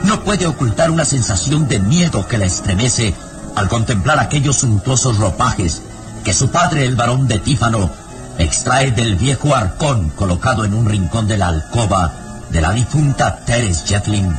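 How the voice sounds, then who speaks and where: A man's voice: medium pitch, expressive, moderate pace. A man narrating a radio drama in an ominous, theatrical style, with music behind.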